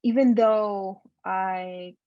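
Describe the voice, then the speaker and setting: A woman from Costa Rica with a Spanish accent, a design professor speaking thoughtfully and haltingly on a video call panel. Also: mid-range voice, fairly even intonation, warm tone, no echo. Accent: Costa Rican Spanish. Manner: thoughtful, halting, many fillers and restarts. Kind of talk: roundtable discussion. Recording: dull lo-fi video call audio.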